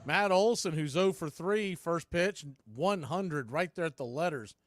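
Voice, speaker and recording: A man's voice: fairly high pitch, measured pace, no echo, clean studio sound. An American man calling live baseball play-by-play in a folksy, partisan home-team style. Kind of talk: live radio baseball commentary.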